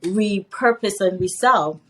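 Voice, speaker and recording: A woman's voice: medium pitch, takes it slowly, background noise. A woman from Guyana, a fashion designer and guest, telling her story candidly and laughing often. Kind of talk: casual interview storytelling.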